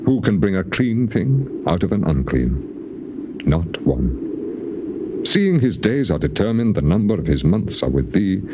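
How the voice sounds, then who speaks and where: Deep, theatrical man righteous sufferer. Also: big pitch swings. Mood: mournful, resigned. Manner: declamatory, impassioned, with weighty pauses. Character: suffering, defiant righteous man pleading with God. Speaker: actor in dramatized scripture recording. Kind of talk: dramatized archaic scripture, theatrical declamation. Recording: dull, noisy old cassette tape recording.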